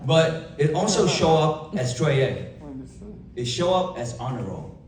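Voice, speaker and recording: A young man with a Vietnamese accent giving an emphatic motivational keynote to a live audience, with dramatic pauses and rhetorical questions. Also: on the high side, very animated, crowd noise. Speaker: motivational speaker. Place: large event hall. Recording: echoey hall from far off.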